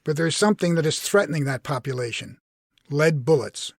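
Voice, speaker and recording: A man's voice: a little high, animated, brisk, gravelly, dry recording, clean studio sound. A man reading his own blog essay as scripted narration.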